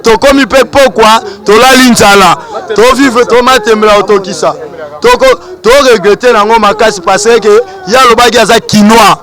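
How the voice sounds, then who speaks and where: A man's voice: sits high, moderate pace, a crowd in the background. A man, complaining indignantly in a street interview. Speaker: person in the street.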